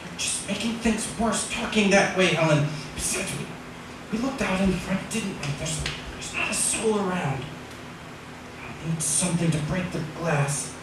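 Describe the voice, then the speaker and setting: A woman playing a nervous, determined husband in an old-time radio drama, halting and urgent, repeating words. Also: lowish voice, close to an everyday voice. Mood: urgent, coaxing. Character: nervous, determined husband turned amateur housebreaker. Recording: very echoey, distant, loud background noise.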